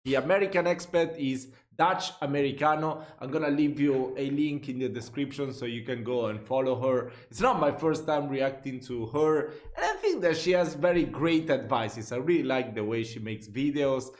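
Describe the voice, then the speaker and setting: Chatty man, echoey room. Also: mid-range voice. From Italy. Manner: chatty, jokey, quick to laugh and swear. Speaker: reaction video host. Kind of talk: casual reaction commentary.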